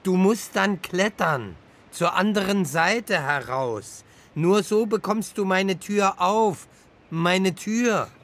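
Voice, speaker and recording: An actor playing an anxious, wrecked family car that talks haltingly and repeats its words, in a children's radio play. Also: medium pitch, animated, a bit bright. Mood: urging. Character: wrecked talking family car, anxious, urging, machine character.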